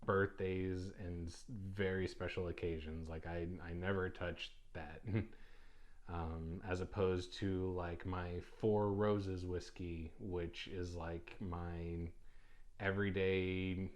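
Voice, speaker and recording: A young man with a low voice talks loosely on his own podcast. He rambles and restarts often, filling pauses with ums and uhs. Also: level tone. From United States. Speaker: podcast host. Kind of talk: casual solo podcast talk.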